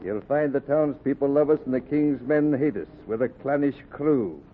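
A man playing a brisk, fair-minded whaling skipper loyal to his crew, in commanding old-fashioned sailor speech for a historical radio drama. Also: medium pitch, animated, natural voice. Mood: brisk, challenging. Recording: narrow phone-like old recording, light noise.

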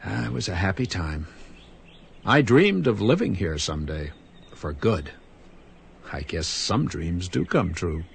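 An actor playing a doting, romantic small-town doctor in a scripted drama. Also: male voice, low voice, sing-song, measured pace, creaky, long pauses, husky, heavily acted. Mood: playful, romantic.

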